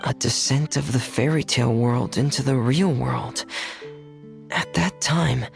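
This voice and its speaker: Soft-spoken, creaky young dreamer. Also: male voice, mid-range voice, measured pace, over loud music. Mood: earnest, wondering. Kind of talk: video game voice acting.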